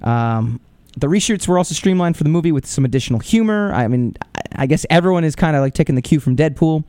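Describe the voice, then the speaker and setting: A man's voice: on the high side, animated, quick pace, a little mellow, dry recording. Rambling, opinionated male podcast host. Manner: rambling, opinionated, many fillers and restarts. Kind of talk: casual commentary.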